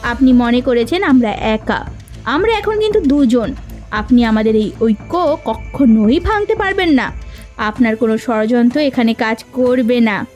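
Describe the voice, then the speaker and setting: Lead: a woman playing a high-voiced, dutiful elder sister for a cartoon, music faint behind. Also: expressive, unhurried, darkish tone. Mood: indignant. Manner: indignant, scolding. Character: stern elder sister running a hill kitchen. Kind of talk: cartoon voice acting.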